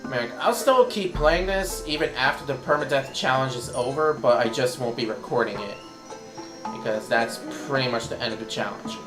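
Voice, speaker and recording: A man with a hoarse voice giving casual, rambling commentary while he plays a video game. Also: medium pitch, normal speed, echoey room. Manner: rambling, thinks aloud while playing. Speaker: let's play commentator. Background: game music.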